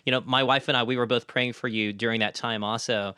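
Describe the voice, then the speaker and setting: A man's voice: medium pitch, fairly even intonation, fast talker, bright, forceful tone, clean tone, no echo, clean studio sound. A man hosting a podcast interview in a conversational, supportive and affirming way.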